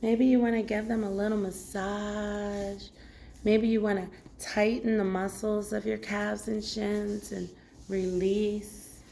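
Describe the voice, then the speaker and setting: A woman leading a guided body scan meditation as a teacher, giving gentle, unhurried instructions with long pauses. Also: medium pitch, flat delivery, creaky, a little hiss or hum. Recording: roomy echo. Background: music.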